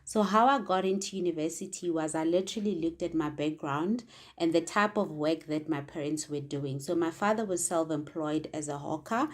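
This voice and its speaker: A young woman with a South African accent whose first language is Sepedi speaks in a low, steady voice. She answers interview questions about her career earnestly and thoughtfully, with frequent small fillers as she reflects. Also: moderate pace. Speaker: internal auditor.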